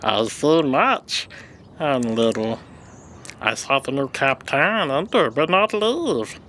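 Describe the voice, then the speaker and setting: Sly, servile little imp man voiced by a woman, low female register, drawn-out lively lines, fantasy audio drama. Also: a little hiss or hum, heavily acted. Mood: impish, eager. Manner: speaks of self in third person, simple phrasing. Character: small impish strong male servant creature, sly and servile.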